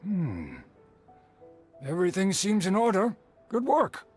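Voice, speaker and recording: Caravan boss, fairly high male voice, composed, brisk, commanding, video game, music behind. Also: wildly swooping pitch, darkish tone, breathy edge, full character voice. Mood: businesslike. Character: businesslike male caravan leader, composed and authoritative.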